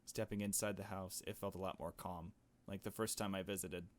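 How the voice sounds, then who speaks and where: A man's voice: low-pitched, little pitch movement, brisk. A man reading a visual novel aloud and commenting casually in a slangy, informal way. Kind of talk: casual gameplay commentary and narration.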